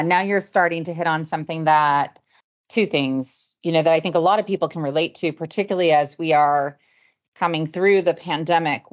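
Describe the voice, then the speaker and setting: A middle-aged woman with a fairly low voice hosts a podcast interview. She asks her questions in a measured, thoughtful way. The audio is slightly dull and lo-fi. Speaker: podcast host. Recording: muffled lo-fi audio.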